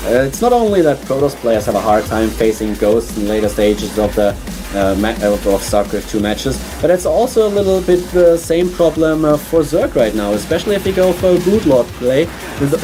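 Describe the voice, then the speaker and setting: A man from Germany with a German accent, casting an esports stream on his own in a small studio, chatty with many fillers and restarts. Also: medium pitch. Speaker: esports caster. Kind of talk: solo esports commentary.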